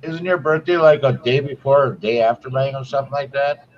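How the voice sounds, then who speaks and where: A man's voice: mid-range voice, brisk, crowd noise. A man in his forties chatting casually and asking questions. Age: 40s. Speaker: guest. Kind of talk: casual group chat. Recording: dull sound with faint noise.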